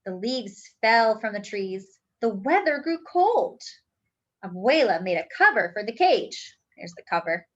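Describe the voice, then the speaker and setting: A woman reading a children's picture book aloud in an expressive, careful, teacherly way. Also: mid-range voice, a little slow, muffled recording.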